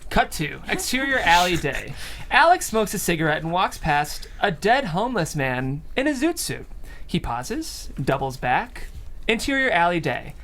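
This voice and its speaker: A woman's voice: fairly low pitch, sing-song, moderate pace, a bit bright, a little hiss or hum. A woman reading out stage directions as scripted narration at a comedy table read.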